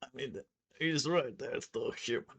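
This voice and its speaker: A streamer of medium pitch giving casual live reactions to a game. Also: a little slow, gravelly, noisy background. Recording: loud game music behind voice.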